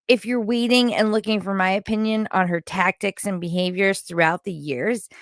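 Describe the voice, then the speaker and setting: Gravelly-voiced woman giving critical commentary. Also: on the low side, no echo, clean studio sound. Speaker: true-crime commentary content creator. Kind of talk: opinionated news-recap commentary, partly scripted.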